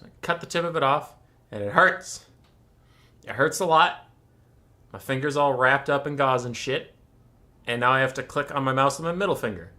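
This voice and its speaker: A man hosting a livestream with a bright, forceful, slightly tense voice that sits at an ordinary male pitch. He chats casually and rambles in a wry, self-mocking way, dropping swear words offhand before casting games. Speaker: stream host and tournament caster. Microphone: desk mic, camera off.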